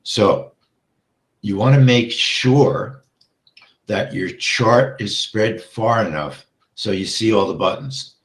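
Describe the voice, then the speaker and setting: Low, slow man getting hoarse. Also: reverberant. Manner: steady, explains step by step, often checks with "okay?". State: getting hoarse, clears throat often. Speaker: trading instructor. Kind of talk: live trading walkthrough.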